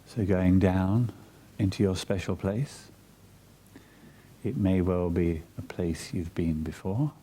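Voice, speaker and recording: A man with a very dark, mellow voice guides a meditation at an unhurried pace. His tone is soft, husky and breathy. He speaks soothingly, in short measured phrases with long pauses between them. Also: low-pitched, steady tone.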